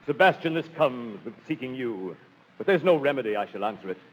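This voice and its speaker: An actor playing a loyal, defiant seafarer in 1930s radio Shakespeare, with a husky voice and impassioned, declamatory delivery. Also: male voice, mid-range voice, animated, brisk, lightly acted. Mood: resigned urgency. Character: Antonio, loyal sea rescuer, bitterly betrayed and defiant. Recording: muffled old 1930s radio broadcast.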